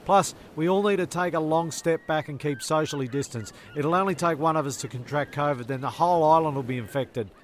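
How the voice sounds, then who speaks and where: A man narrating and acting as a fussy, deadpan castaway in a comedic radio play, with a creaky voice. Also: a little high, brisk, few pauses, lightly acted.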